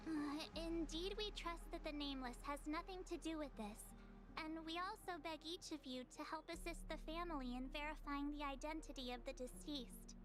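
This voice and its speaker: A woman's voice: sits high, moderate pace, music in the background, close to an everyday voice. A woman voicing an earnest young girl in a video game, recounting things in a youthful voice. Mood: earnest, worried.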